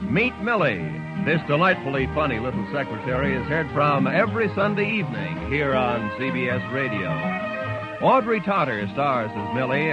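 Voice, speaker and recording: Animated American male radio announcer. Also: medium pitch. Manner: formal, emphatic. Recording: muffled vintage radio broadcast. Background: music.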